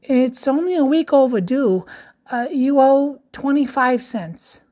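A woman reading aloud plays a kind middle-aged librarian in a children's storybook. She speaks gently and reassuringly, slightly raised in pitch, taking her time. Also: lively intonation, measured pace. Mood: gentle.

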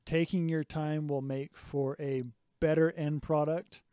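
Man in his 30s, slightly hoarse, warm mellow tone, chatty step-by-step explainer, dry close mic in a home workshop. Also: on the high side, level tone, a little slow, no room sound. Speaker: podcast co-host. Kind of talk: casual hobby podcast chat. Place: small home workshop indoors. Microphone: studio mic on boom arm, close.

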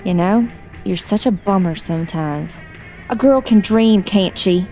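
A woman's voice: medium pitch, unhurried, dark tone, faint music behind, natural voice. An actress playing a reckless young outlaw sister who taunts her captives brashly. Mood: gleeful. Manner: taunting, brash, whooping laughter. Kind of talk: indie audio drama acting.

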